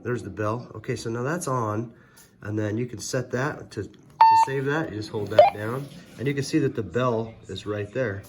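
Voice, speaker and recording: A man's voice: medium pitch, normal speed. A man giving a casual, hands-on gadget tutorial, explaining step by step in a hesitant way. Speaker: product reviewer, presenter. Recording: very noisy, electronic beeps and clicks.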